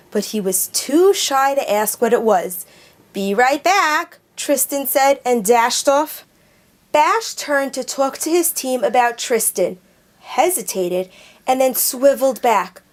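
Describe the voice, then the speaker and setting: Woman, children's book reader, slow careful reading, slightly high voice. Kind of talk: reading a picture book aloud.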